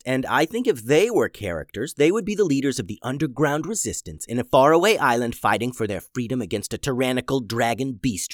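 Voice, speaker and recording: Brief: scratchy-voiced male kids' storyteller, lively and singsong, dry close sound. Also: medium pitch, no echo. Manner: playful and silly. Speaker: podcast host and storyteller.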